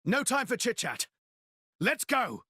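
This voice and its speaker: An actor with a British accent playing a brash, cocky young adventurer prince in a video game, bold and slangy. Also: male voice, extremely high pitch, big pitch swings, takes it slowly, slightly rough, dry recording, studio quality, heavily acted. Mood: defiant, rousing. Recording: loud game music behind.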